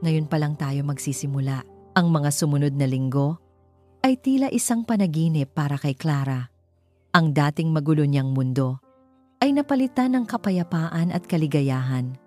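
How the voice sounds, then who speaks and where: Low, mellow woman narrating romance over music. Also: lively intonation, moderate pace. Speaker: storyteller. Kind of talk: scripted dramatic romance narration. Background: background music.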